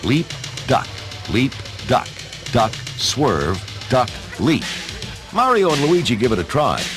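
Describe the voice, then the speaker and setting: A man's voice: mid-range voice, very animated, takes it slowly. A man giving dramatic scripted narration as the narrator of a radio play. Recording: music behind the voice.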